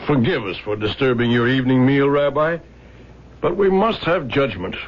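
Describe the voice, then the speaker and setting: An actor playing a devoted, grieving husband in an emotional, imploring radio drama performance. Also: male voice, mid-range voice, animated, moderate pace, close to an everyday voice. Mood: solemn. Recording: dull, noisy old radio broadcast.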